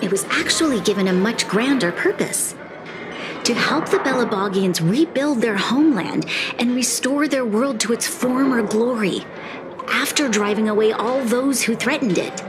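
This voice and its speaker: A woman playing an earnest, resolute young female leader in a video game cutscene, speaking in a measured, formal way. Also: fairly high pitch, natural voice. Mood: solemn, proud. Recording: loud game music behind the voice. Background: music.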